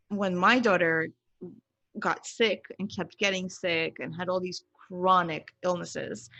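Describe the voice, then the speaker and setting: A woman with a medium-pitched voice, recorded dry with hardly any room sound. She speaks as an interview guest, explaining things earnestly and deliberately and leaning on key words. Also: a bit bright, dry recording. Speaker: podcast guest, pediatrician.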